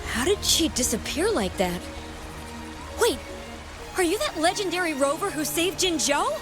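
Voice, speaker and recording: A woman's voice: very high-pitched, very animated, moderate pace, music in the background. A woman voicing an eager, helpful townswoman in a video game who writes travel guides and speaks admiringly. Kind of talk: game voice acting.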